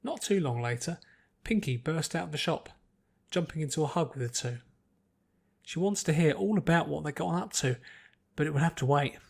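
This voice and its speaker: A man's voice: on the high side, expressive, brisk, gravelly, long pauses, breathy edge. A man reading scripted story narration as an audiobook narrator.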